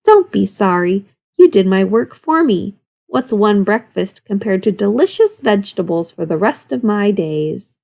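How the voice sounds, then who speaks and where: Casting: sly, grumpy old male wolf for a children's storybook, gravelly and wheedling played by a woman. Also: mid-range voice, expressive, a little slow, darkish tone. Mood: content, friendly. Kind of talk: children's storybook read-aloud.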